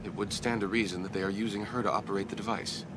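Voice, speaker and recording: A man's voice: lowish voice, steady tone, fast talker, noisy background, lightly acted. A man playing a logical, formal starship first officer with precise wording in a cinematic video game. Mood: measured, formal. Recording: loud music behind the voice.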